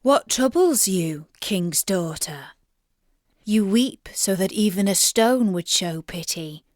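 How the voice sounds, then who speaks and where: A woman's voice: medium pitch, animated, slow, a little mellow, no room sound, clean studio sound. A woman voicing a pleading, bargaining frog in a storybook, with formal old-fashioned speech. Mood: coaxing. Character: talking frog, male, pleading and bargaining suitor. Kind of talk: storybook character voice.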